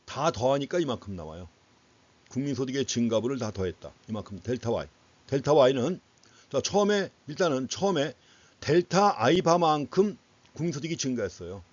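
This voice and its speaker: A man's voice: medium pitch, darkish tone, dry recording. A man giving an explanatory economics lecture in a didactic way, putting questions to students and repeating key phrases for emphasis. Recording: dull, lo-fi lecture audio.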